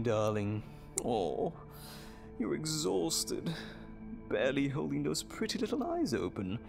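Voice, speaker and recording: Theatrical, swooping evil doctor. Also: male voice, medium pitch, creaky, full character voice. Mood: gloating, mock-tender. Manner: theatrical, mock-tender, drawn-out phrasing. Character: sadistic, gleeful evil male doctor, condescending and menacing. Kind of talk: audio drama acting.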